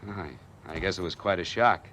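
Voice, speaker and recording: Deep-voiced hardboiled noir man. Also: normal speed, slight background noise. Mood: controlled, probing. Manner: terse, deadpan, slangy. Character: wary hardboiled man on the run, posing as detective. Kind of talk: 1940s radio noir acting, first-person narration. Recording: old time radio recording with music behind.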